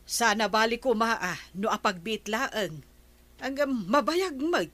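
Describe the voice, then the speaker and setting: A direct, practical village woman in a comic radio drama. She has an Ilocano Filipino accent and a slightly high, conversational voice. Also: lively intonation, takes it slowly, fairly bright tone, full character voice. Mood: questioning, nudging. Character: practical, nudging village woman talking with the captain.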